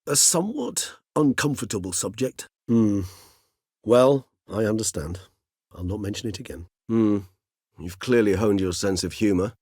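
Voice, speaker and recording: An actor voicing an erudite, gentle, world-weary old vampire scholar in a video game, speaking in measured, courtly, bookish phrases. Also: male voice, on the low side, lively intonation, husky, no echo, studio quality. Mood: thoughtful. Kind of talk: video game character dialogue.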